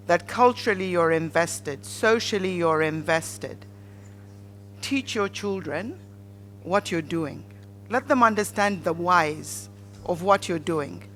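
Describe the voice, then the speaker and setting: Measured Ugandan woman panelist, faint hum. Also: fairly low pitch, fairly bright tone. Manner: measured, instructive. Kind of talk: advice talk at a conference panel.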